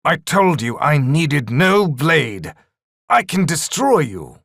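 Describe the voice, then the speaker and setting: A man doing a young elf swordsman, earnest, slow and lively in pitch, dry. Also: creaky, dry recording, exaggerated voice. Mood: defiant. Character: young male elf warrior, proud brother. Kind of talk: audiobook reading.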